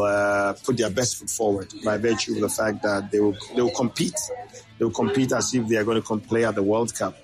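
A man's voice: on the low side, poor audio. A man speaking as a football official in an interview, hesitant with many fillers and restarts. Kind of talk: interview clip.

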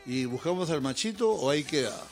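An older man hosting a relaxed interview, slightly high in pitch. He jokes and teases his guest, leaning on filler words, while faint music plays behind him. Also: a little fast. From Peru. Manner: joking, teasing, with filler words. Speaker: host. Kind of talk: casual interview chat.

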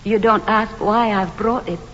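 A woman's voice: medium pitch, a little slow, a bit bright, natural voice. An actress playing a devoted, self-doubting but determined woman in a stage drama, with an earnest, yearning, intense delivery. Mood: tense, subdued. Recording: dull hall recording with hiss.

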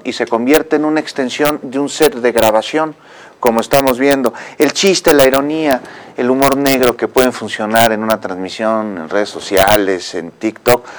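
A man hosting a news interview, pressing his guest with pointed questions and adding critical commentary. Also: mid-range voice.

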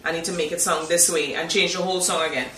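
A man's voice: a little high, steady tone, fast, pushed and bright. A man with a Trinidadian accent touched by American twang, asking questions in a casual interview. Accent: Trinidadian with some American twang. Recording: echoey, distant mic, faint noise.

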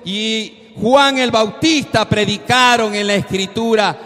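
A man preaching a sermon as the regular speaker of a program, exhorting listeners in a slow, emphatic way that rises into loud bursts. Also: high voice. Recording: long reverberant hall.

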